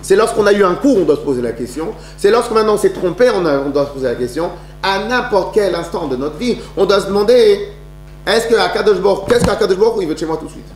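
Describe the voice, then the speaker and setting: A man's voice: high voice, expressive, fast talker. A man giving a religious talk to an audience, telling stories with many restarts and rhetorical questions. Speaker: lecturer. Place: lecture hall. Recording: echoey room with faint hum.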